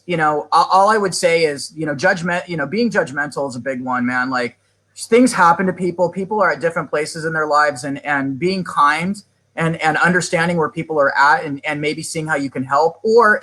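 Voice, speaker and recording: A man's voice: a little high, pushed and bright, rough recording. A man who has worked in animal shelters for years, answering interview questions in an earnest, explanatory way and now and then addressing people as "man". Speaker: animal shelter expert guest.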